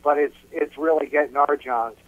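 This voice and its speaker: A man's voice: a little high, fairly even intonation, normal speed, husky, no room sound, phone-quality sound. A man speaking as a musician guest in a casual interview, repeating words as he explains.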